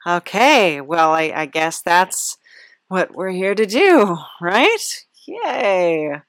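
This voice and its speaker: A woman's voice: lowish voice, big pitch swings, very slow, fairly bright tone, no echo, studio quality. A woman hosting a casual comic podcast interview in a hesitant, awkwardly chirpy, giggly manner. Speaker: podcast host.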